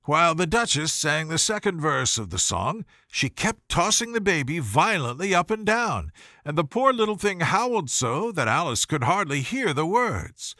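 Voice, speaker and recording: A male audiobook narrator reads a children's story. His voice sits a little high and is bright and crisp in tone, with very lively intonation. The sound is dry and close. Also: normal speed, no echo.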